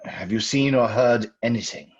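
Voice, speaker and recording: Male actor as grave occult scholar for vintage radio horror: husky, fretful, deliberate, on a dull lo-fi recording. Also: medium pitch, natural voice. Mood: grave, troubled. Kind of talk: old-time radio drama acting. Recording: muffled old radio recording.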